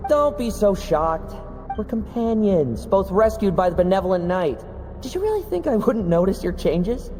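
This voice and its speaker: A man's voice: sits high, very animated, normal speed, warm tone. An actor playing a sly, ambitious young man in a video game, smug, theatrical and taunting. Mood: playful, calculating. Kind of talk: dramatic video game voice acting. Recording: long hall reverb, music underneath.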